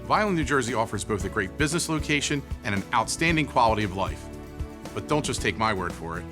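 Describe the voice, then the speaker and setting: A man's voice: medium pitch, expressive, fast. Man reading an upbeat promo over music. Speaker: ad speaker. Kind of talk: scripted promotional read. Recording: ad with music bed.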